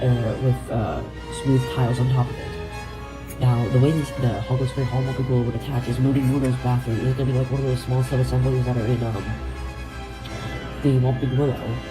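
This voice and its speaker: Young man, congested nasal voice, monotone, rambling with fillers. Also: medium pitch, low-quality recording. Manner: rambling, frequent fillers and self-corrections. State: congested, stuffy nose. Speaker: LEGO video creator. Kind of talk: casual speculation vlog.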